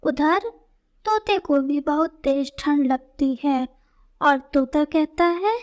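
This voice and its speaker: High-pitched, slow-paced woman storyteller. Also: expressive, muffled recording. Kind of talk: scripted children's story narration.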